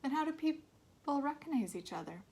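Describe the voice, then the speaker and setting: A woman's voice: sits high, big pitch swings, normal speed, darkish tone, pitched above the performer's own voice. A sensitive young boy from a storybook, acted out by a woman reading aloud. He is inquisitive and pleading, asking things with childlike earnestness. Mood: wondering.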